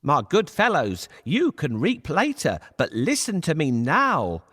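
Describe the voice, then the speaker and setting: A man's voice: on the high side, huge pitch swings, a little slow, a bit bright, voice pushed up, exaggerated voice. A man voicing a bold, cunning talking cat in a children's story, with theatrical, persuasive, emphatic delivery. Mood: bossy warning.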